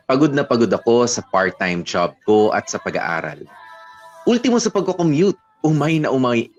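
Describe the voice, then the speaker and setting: A man's voice: fairly low pitch, dull audio, close to an everyday voice. An actor narrating as a young man recounting his breakup in a radio drama, candid and weary.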